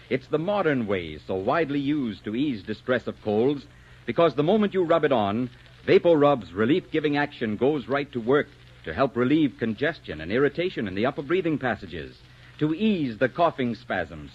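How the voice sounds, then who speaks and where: Animated vintage male radio announcer, muffled. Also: medium pitch, breathy edge. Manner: emphatic, polished salesmanship. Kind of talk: 1940s radio announcing, scripted sales pitch.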